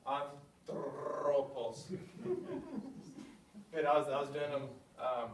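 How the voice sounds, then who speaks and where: A man's voice: medium pitch, level tone. A man leads a classroom lesson, thinking aloud as he works through each example. He sounds far from the camera, in a reverberant room with a faint background hum. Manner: thinking aloud, slow deliberate spelling-out of words. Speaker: teacher. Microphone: camera at a distance.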